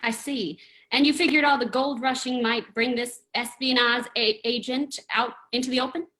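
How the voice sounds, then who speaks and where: Woman as a bright, wry sleuth's companion. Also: fairly high pitch, fairly even intonation, moderate pace, rough recording. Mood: inquisitive. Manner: wry, inquisitive. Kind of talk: old-time radio drama acting.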